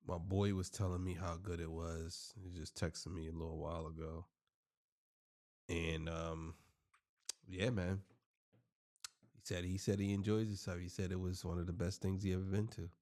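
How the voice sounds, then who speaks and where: A man's voice: deep voice, flat delivery, normal speed, long pauses, warm tone. A man hosting a casual chat in a laid-back way, trailing off at the ends of lines. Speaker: podcast host.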